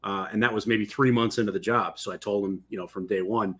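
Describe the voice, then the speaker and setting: A man with a husky, lowish voice talks quickly as a podcast co-host. He is conversational and agreeable, spinning out long casual explanations. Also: fairly even intonation, a bit bright.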